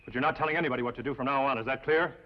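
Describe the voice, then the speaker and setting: An actor playing a ruthless, ambitious outlaw in a 1950s television western, with an American accent and blunt, taunting talk. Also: male voice, medium pitch, fairly even intonation, very fast, close to an everyday voice. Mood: defiant, threatening. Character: ruthless male outlaw gunman, defiant, ambitious, bullying. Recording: muffled old TV soundtrack.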